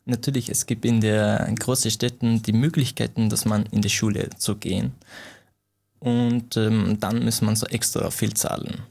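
A man's voice: on the low side, flat delivery, moderate pace, creaky, a little mellow. A young man who grew up in Iran, speaking German as an in an interview, hesitant and full of fillers and restarts. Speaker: guest, martial arts coach.

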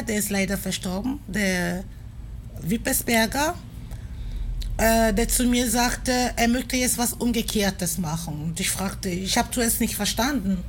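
A woman from Cameroon, not a native speaker, speaking in a thoughtful, hesitant interview with many pauses and fillers. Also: on the high side, a little hiss or hum. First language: a Cameroonian language. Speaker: interviewee, performer and activist. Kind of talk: recorded interview.

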